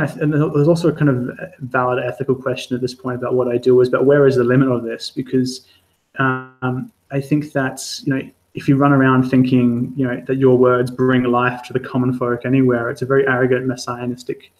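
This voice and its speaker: A man's voice: medium pitch, level tone, fairly quick, rough recording. A man from Adelaide with an Australian accent, a freelance journalist giving a candid talk to an online audience, hesitant, with many fillers and restarts. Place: home or studio for a live stream. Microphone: streaming setup.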